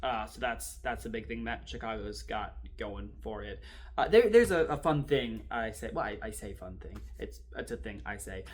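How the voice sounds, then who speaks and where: A man playing a wry, rattled archival assistant in a horror drama, rambling and sardonic as he records a halting confessional monologue. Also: fairly low pitch, lightly acted. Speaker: performer in a fan audio-video drama. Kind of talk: found-footage confessional monologue, conversational storytelling.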